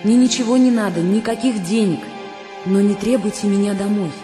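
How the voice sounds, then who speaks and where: A woman's voice: mid-range voice, a little fast, close to an everyday voice. Woman acting a reverberant, wounded young daughter. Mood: hurt, defiant. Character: wounded, defiant young daughter reading her farewell letter. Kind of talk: radio drama acting. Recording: long hall reverb over music.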